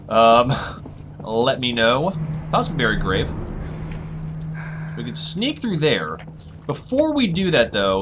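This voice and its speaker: Husky, tired man thinking aloud. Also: mid-range voice, noisy background. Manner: relaxed, musing thinking aloud. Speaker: gaming channel host. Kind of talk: casual let's-play commentary.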